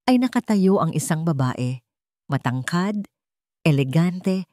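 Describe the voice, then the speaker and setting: Female storyteller for scripted romance drama: lower-register voice, dry studio-like sound. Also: mellow, no echo. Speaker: narrator.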